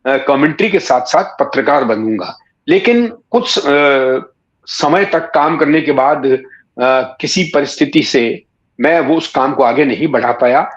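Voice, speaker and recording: A man, recalling his life in a measured, courteous, conversational way, often pausing mid-sentence. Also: mid-range voice. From Rajasthan, India. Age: 60s. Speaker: interview guest, writer and former cricket commentator. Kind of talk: reflective conversational storytelling. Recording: echoey room, slightly dull sound.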